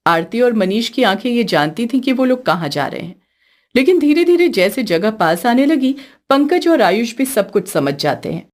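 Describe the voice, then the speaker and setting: A woman's voice: a little high, big pitch swings, normal speed. A woman narrating a scripted story as the storyteller.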